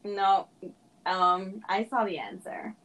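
A woman's voice: mid-range voice, slow, fairly bright tone. A woman co-hosting a podcast in casual, lightly teasing chat. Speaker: podcast co-host.

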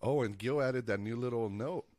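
A man co-hosting a casual podcast, stuffy from allergies, telling stories in a relaxed, rambling way with lots of fillers. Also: medium pitch, moderate pace, no echo. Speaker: podcast co-host and piano player. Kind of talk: casual chat.

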